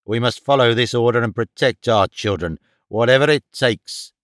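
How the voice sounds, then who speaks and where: A man voicing a gruff, domineering, bigoted father in an audiobook, curt and commanding. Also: mid-range voice, moderate pace, fairly bright tone, no echo, clean studio sound, natural voice. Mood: angry, scornful. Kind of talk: audiobook character dialogue.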